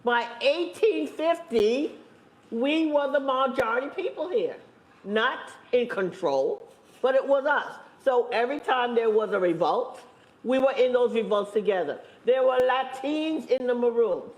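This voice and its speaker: A woman's voice: on the high side, expressive, a little mellow. Emphatic woman panelist in an echoey room. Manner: emphatic, repeats phrases, stresses points slowly. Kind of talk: impassioned panel talk.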